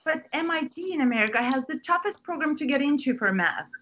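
A woman acting a nervous, doting, pushy widowed mother in a screenplay read, with a husky voice. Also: high-pitched, animated, quick pace, a bit bright, highs cut off, slight background noise. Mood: theatrical. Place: indoors on a group video call. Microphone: video call.

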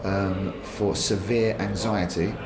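A man in his thirties, a prison inmate speaking haltingly in his cell. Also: low voice, level tone. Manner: hesitant, halting. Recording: echoey cell with noise.

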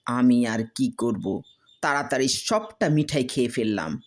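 A woman's voice: a bass voice, a little slow. Woman playing a crafty, plaintive traveller man. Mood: mock-sorrowful.

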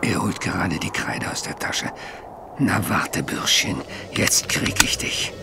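An actor playing a protective, exasperated husband in a German audio drama, gravelly and urgent. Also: male voice, low-pitched, slow pace, over loud music, exaggerated voice. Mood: tense, determined.

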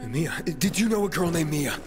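An earnest young man for a video game cutscene, intense and quick to flare up. His pitch moves around a lot, with music clearly behind him. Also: big pitch swings. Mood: urgent. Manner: urgent, intense. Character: earnest, hot-tempered young man searching for his sister.

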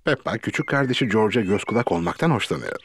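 A man narrating a children's cartoon in a calm, friendly storytelling way. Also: fairly low pitch, quick pace. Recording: cartoon with loud music behind.

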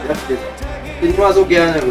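A man acts out a matey, womanising Ruhr coal miner in an audio comedy. The male voice is fairly high, colloquial and a bit halting, with a Ruhr German accent over clear background music. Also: fairly even intonation, lightly acted. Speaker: comedy performer. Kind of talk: improvised audio comedy, casual banter.

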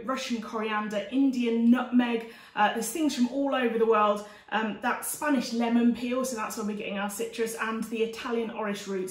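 A woman in her forties presenting an informal cookery demonstration to camera in a chatty way, recorded in a dining room. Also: mid-range voice. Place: domestic dining room, indoors. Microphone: camera a few steps away. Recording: roomy, distant camera microphone.

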